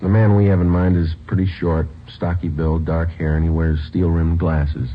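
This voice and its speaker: A man's voice: low voice, little pitch movement, normal speed. An American man playing a terse, businesslike police sergeant in a 1950s radio crime drama, clipped and matter-of-fact. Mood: dry questioning. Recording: muffled old radio recording with music.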